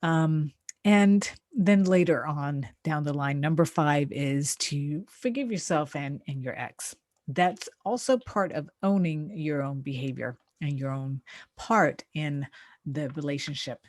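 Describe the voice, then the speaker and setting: A woman's voice: low voice, unhurried, darkish tone, no room sound. A woman hosting a self-help radio show, talking conversationally in an encouraging, emphatic way. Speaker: radio show host, therapist and life coach.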